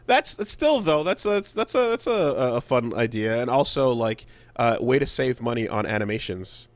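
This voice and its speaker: A man co-hosting a casual podcast about video games, speaking haltingly and repeating words mid-thought. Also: mid-range voice, expressive. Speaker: podcast co-host.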